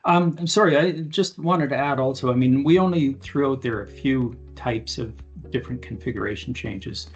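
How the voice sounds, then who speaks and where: A man speaking as an expert on an aviation podcast, explaining things conversationally and haltingly with informal examples. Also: medium pitch, breathy edge, muffled recording, faint music behind.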